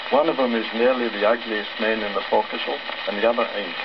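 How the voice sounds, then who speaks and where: A man's voice: on the low side, steady tone, fast, creaky. Crackle and a muffled old-record sound surround an English ship's cook as a male storyteller plays him. He is a self-important storybook boaster, airy and mock-sorrowful about his supposed gift. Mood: gloomy, mysterious. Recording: old crackly record, dull, heavy surface noise.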